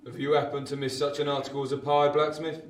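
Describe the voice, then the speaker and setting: An actor playing a commanding, authoritative army sergeant in a period radio play, brisk and brusque. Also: male voice, fairly high pitch, level tone, natural voice. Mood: stern. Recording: roomy, distant, faint noise.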